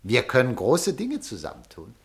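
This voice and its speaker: A pushy, bombastic newspaper boss, swooping pitch. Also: male voice, huge pitch swings, moderate pace. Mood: fervent, urgent. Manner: insistent, persuasive, declamatory. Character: pushy, bombastic male newspaper director hungry for sensation. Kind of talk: classic German radio play acting.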